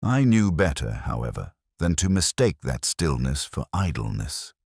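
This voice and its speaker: A man reading a period detective story as its narrator, in a measured, formal, literary manner. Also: a bass voice, big pitch swings, a little mellow, slightly rough, no room sound, clean studio sound. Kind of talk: scripted audiobook narration of a Victorian detective story.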